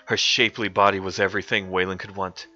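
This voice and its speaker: A man's voice: low voice, little pitch movement, quick pace, bright, forceful tone. A young man streaming a mock-dramatic reading of a comic fanfic, often cracking up with laughter. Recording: dull lo-fi stream audio with faint music.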